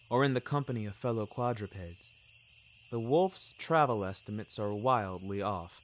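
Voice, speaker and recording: A man narrating scripted first-person fiction in a measured, wry, literary manner. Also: medium pitch, a little hiss or hum. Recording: music bed under the voice.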